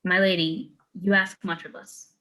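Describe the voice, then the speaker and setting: A woman's voice: fairly low pitch, flat delivery, moderate pace, pushed and bright. A woman playing a reserved, dutiful samurai retainer in a dramatic script reading, formal and guarded in manner. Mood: earnest.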